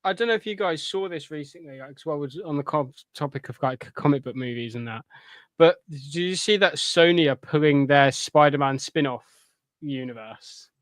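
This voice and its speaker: A man's voice: a little high, normal speed, no echo. A man talking casually as a podcast co-host. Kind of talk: casual pop culture podcast chat.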